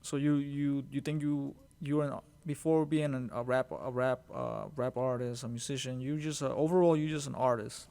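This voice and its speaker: Gravelly male interviewer, halting questions. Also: medium pitch, fairly even intonation, a little mellow. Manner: halting, repeats words often, uses slang like dope.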